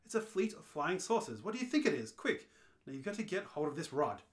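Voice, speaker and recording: A man voicing a brisk, unfazed alien hitchhiker who guides a confused friend, in a radio play read-through. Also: a little high, very animated. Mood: urgent, impatient. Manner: matter-of-fact, unfazed.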